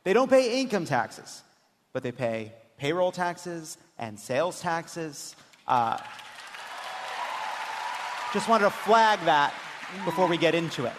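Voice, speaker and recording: Guy hosting live political comedy show, highish voice, big hall reverb. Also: sing-song, slow pace, pauses often, some chatter behind, a little hiss or hum. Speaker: podcast host. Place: large hall on stage. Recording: echoey hall, live stage.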